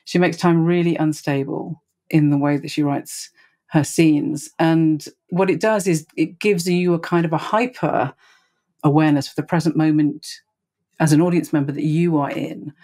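A woman's voice: low voice, fairly even intonation, normal speed, dark tone. Female theatre professional, reflective spoken commentary in an interview setting. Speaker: theatre play-development director interviewed.

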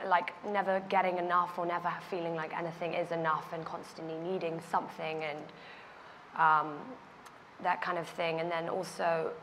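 A young woman talks from a panel stage in a loose, chatty way, filling gaps with likes and ums. Her voice sits a little low. A faint hiss lies underneath. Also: fairly bright tone, long reverb tail. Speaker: actress on a press panel. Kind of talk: panel answer. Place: stage of a large event hall.

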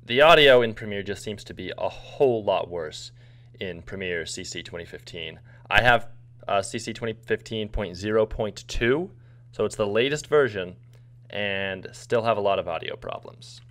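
A man's voice: on the low side, normal speed, fairly bright tone. A man casually explaining what is on screen as a video editor narrating a screen recording.

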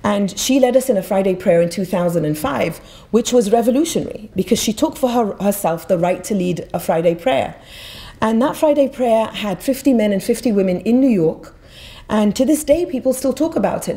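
A woman's voice: medium pitch, expressive, fairly quick, warm tone. A middle-aged woman from Egypt giving an emphatic, passionate talk at a podium in an auditorium. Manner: passionate, emphatic, repeats words when restarting. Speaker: guest speaker. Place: auditorium stage. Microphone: podium mic.

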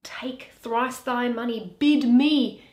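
Casting: earnest young advocate, played by a woman, high voice, persuasive classical verse, recorded in an echoey room. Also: a little mellow. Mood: pleading, grave. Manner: deliberate, persuasive. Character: earnest, measured young male lawyer pleading for mercy. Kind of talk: dramatic Shakespeare reading.

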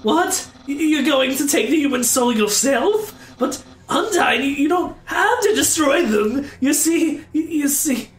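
A man voicing a nervous, boastful skeleton who stammers and speaks loudly and high. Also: expressive, a little slow, few pauses, reverberant, far from the mic. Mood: flustered.

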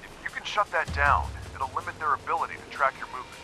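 A man's voice: a little high, big pitch swings, fast talker, poor audio. An actor playing an earnest, protective American lawman in a video game, cautious and urgent as he gives instructions. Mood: businesslike. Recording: game music behind the voice.

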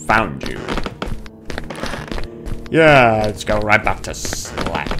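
A man's voice: fairly low pitch, big pitch swings, extremely slow, over music. A man giving casual let's play commentary on a horror game, muttering asides, exclaiming nervously and making playful little noises. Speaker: gamer commentating on his horror game playthrough.

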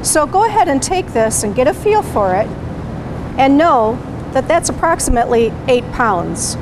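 A middle-aged woman explaining with a clear, patient, teacherly manner, recorded on camera in a grocery store. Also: high-pitched, big pitch swings, normal speed, background noise. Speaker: parent educator. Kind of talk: instructional presentation to camera. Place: grocery store produce section, indoors.

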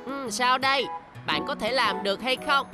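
A woman's voice: sits high, moderate pace, over music, lightly acted. A woman voicing a kind, patient young city bus, easily flustered, in a dubbed children's cartoon. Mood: friendly, firm.

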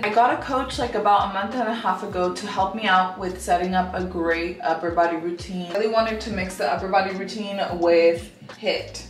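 A woman's voice: medium pitch, a little hiss or hum. Chatty young woman, roomy far-off sound. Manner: earnest, reflective, chatty with frequent "like". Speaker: fitness vlogger. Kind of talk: casual vlog voice-over and talk to camera. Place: home bathroom and bedroom, indoors. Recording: roomy sound from off the mic, music behind. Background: soft background music.

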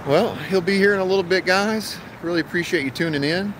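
A man's voice: high voice, a little fast. A man in his thirties, an HVAC technician, talking casually to his phone camera on a commercial rooftop and explaining the job step by step. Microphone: phone held at arm's length. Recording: outdoor phone audio with traffic noise.

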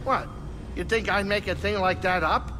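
A man playing a gruff, boastful little alien starship pilot in a video game, with a brash, folksy way of talking. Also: moderate pace, natural voice. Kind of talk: video game character dialogue. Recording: noisy ship engine rumble behind. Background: spaceship cockpit hum.

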